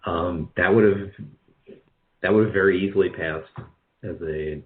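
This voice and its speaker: A man being interviewed as a radio drama producer, speaking casually and haltingly, often chuckling. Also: deep voice. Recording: dull, band-limited radio call audio.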